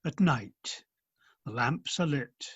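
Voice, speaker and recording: A man's voice: medium pitch, expressive, slow, fairly bright tone, husky, dry recording, highs cut off. A man narrating stage directions from a script for a radio play.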